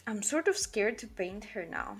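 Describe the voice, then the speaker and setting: A woman from Portugal with a Portuguese accent, speaking English as a non-native language, chatting casually and quickly while she draws on a livestream, full of "like" and self-corrections. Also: medium pitch, sing-song. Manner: fast-talking, rambling, with frequent "like" and self-corrections. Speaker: artist streaming a drawing session.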